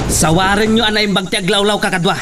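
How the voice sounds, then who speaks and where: A man's voice: high-pitched, steady tone, fast, lightly acted. A man playing an obedient but urgent henchman in a radio drama. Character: obedient henchman leading an armed search party. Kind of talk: Ilocano radio drama acting. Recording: clearly noisy recording.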